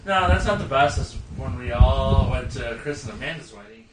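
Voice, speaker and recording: A man's voice: mid-range voice, expressive, moderate pace. A man joking and laughing on a casual podcast among friends. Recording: distant, roomy, loud noise.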